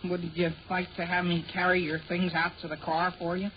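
A man's voice: high voice, completely flat delivery, fairly quick, lightly acted. An actor playing an anxious, evasive but polite teenage boy in a 1940s radio sitcom, with an earnest adolescent voice. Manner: polite, gee-whiz earnestness. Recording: muffled 1940 radio recording, hiss.

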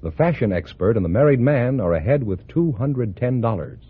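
Husky male radio announcer, lively pitch. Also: moderate pace. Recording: dull vintage radio with audience behind.

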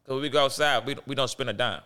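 Fast, bright-voiced Baltimore man. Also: mid-range voice, smooth. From Baltimore, USA. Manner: repeats words and restarts phrases often, heavy slang and swearing. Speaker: stand-up comedian, podcast guest. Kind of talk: casual round table chat.

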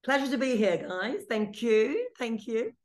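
A woman's voice: on the high side, very animated, a little slow, darkish tone. A middle-aged woman from England with a British accent, Italian as her first language, chatting as a podcast guest and telling stories with light joking asides. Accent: British English. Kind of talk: casual interview chat.

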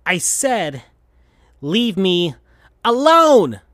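A reader voicing an angry, sulky male teen hedgehog who snaps and shouts, pitched well above their own voice. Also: big pitch swings, takes it slowly, dry recording, clean studio sound.

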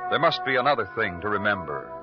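A muffled old radio recording with music behind a brooding detective. His low male voice is measured and moody, in a world-weary, hard-boiled mid-century crime-drama style. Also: big pitch swings. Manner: measured, moody, poetic delivery. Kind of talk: 1940s-50s hard-boiled radio narration.

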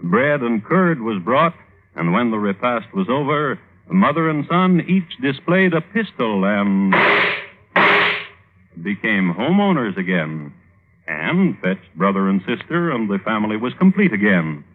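Animated, droll crime-story host, vintage radio. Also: male voice, fairly low pitch, slight background noise. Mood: dry, dramatic. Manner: wry, deadpan, formal with deliberate hesitations. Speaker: host and narrator. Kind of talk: 1950s radio scripted narration. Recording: tinny old radio recording.

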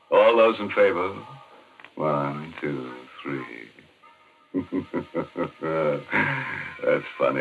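Deep-voiced, macabre American horror radio host. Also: male voice. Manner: macabre, mock-genial, punning. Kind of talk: 1940s radio horror hosting, scripted. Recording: muffled old radio broadcast.

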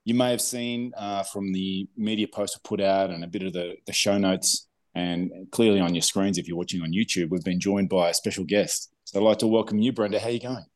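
A man's voice: low-pitched, fairly even intonation, brisk, hardly any pauses. A man with an Australian accent hosting a podcast in a friendly, rambling way. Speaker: podcast host.

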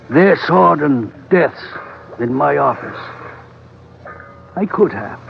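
A man acting a grim, shrewd old colonial official with a gravelly voice and terse, ominous delivery in an old-time radio adventure. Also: high-pitched, exaggerated voice. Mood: cool, unrepentant. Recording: muffled vintage radio recording. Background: faint dramatic music.

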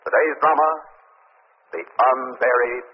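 A man's voice: mid-range voice, fairly even intonation, measured pace, natural voice. A man announcing and narrating a radio drama in a scripted 1940s style. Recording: muffled noisy old radio recording.

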